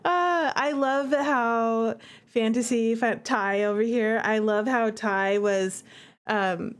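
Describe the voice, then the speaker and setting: A woman streamer and game developer giving casual live commentary, chatty and expressive, often repeating herself for emphasis. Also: on the high side, slow pace, clear, resonant tone.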